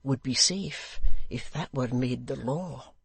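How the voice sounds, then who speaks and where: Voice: mid-range voice, slow pace, creaky, breathy edge, no echo, full character voice. A reader voicing a zealous, self-righteous nobleman who speaks in a formal, earnest, declamatory way. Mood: defensive. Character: zealous, self-righteous English lord, solemnly easily offended.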